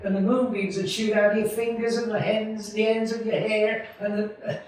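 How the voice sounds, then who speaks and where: A man playing an idealistic, restless young small-town American in a radio play, with an excitable, halting, folksy way of talking. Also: high voice, lightly acted. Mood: dreamy, eager. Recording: roomy, distant stage recording, faint noise.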